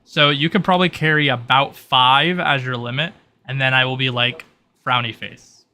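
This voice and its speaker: A man's voice: mid-range voice, steady tone, moderate pace, pushed and bright. A man running a tabletop roleplaying game as game master, explaining rules in an informal, casual way. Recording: noticeable background noise.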